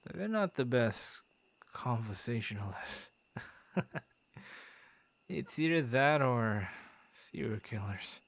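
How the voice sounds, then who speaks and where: A man's voice: medium pitch, animated, slow pace, creaky, long pauses, no echo, full character voice. A man voicing a friendly, bashful werewolf who guards his forest, stammering and playfully theatrical, in an ASMR boyfriend roleplay. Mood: amused, welcoming.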